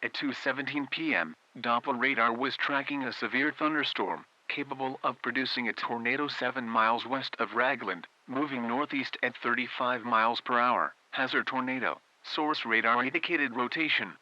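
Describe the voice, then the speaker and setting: A man's voice: mid-range voice, moderate pace, few pauses, sharp tone, muffled recording. A man reading a scripted emergency weather warning as an announcer, in a formal, clipped manner. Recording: hissy, noisy broadcast audio.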